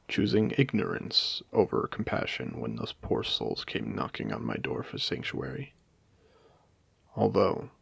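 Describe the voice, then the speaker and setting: A man playing a wry, courteous AI that was once human, speaking formally and deliberately in a dramatic audio roleplay. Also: on the low side, vocal fry, slightly rough, no room sound, heavily acted. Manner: articulate, theatrical, deliberate, formal wording. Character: wry, courteous male AI, once human, haunting a ruined casino. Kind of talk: dramatic audio roleplay monologue addressed to a listener.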